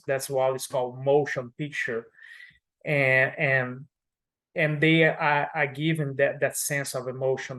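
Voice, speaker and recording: A man speaking English with a Brazilian Portuguese accent, his first language being Portuguese. His voice sits at a middling pitch, and he answers interview questions in a thoughtful way, pausing with fillers and restarting his sentences. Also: fairly bright tone. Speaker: audio description manager.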